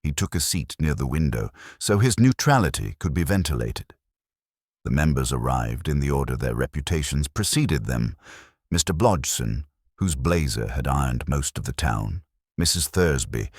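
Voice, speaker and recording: A man with a very deep voice narrates a cosy mystery story. His delivery is measured and wryly understated, yet the intonation rises and falls with plenty of movement. The sound is dry and free of room echo. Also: animated, breathy edge. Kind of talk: scripted literary audiobook narration of a cosy mystery.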